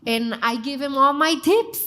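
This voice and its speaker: A woman with a Mexican accent talks with enthusiasm and emphasis. Her voice sits fairly high. She speaks as a guest in a panel conversation. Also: moderate pace, sharp tone. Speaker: TV cooking show host, guest.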